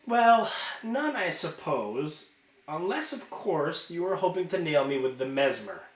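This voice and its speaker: A man voicing a giddy, taunting teenage girl in a storybook, playful and sing-song with drawn-out condescension. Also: a little high, big pitch swings, roomy sound, voice pushed up. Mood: coy.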